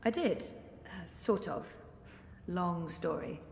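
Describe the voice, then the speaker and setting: Weary young game heroine, understated and hesitant. Lowish register, long reverb around the voice. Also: female voice, takes it slowly, vocal fry. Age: young adult. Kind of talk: video game character acting. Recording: echoey hall, faint background noise.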